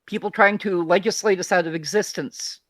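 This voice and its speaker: A guest from Texas with a light, softened Texan twang speaks in a bright, crisp tone. The delivery is candid and opinionated but easygoing, like a relaxed home chat. The sound is dry and close to the mic. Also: mid-range voice, a little fast, dry recording. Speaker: guest, feed curator. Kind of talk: casual conversation.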